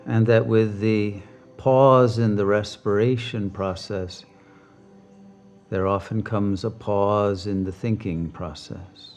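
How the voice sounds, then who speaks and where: A man leading a guided meditation as a teacher, speaking calmly and deliberately with long pauses. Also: on the low side.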